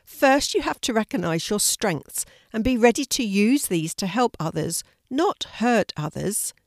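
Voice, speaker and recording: A woman's voice: mid-range voice, expressive, a little slow, dry recording, studio quality, pitched above the performer's own voice. A woman voicing a sincere, helpful young boy who speaks in an earnest, instructive way.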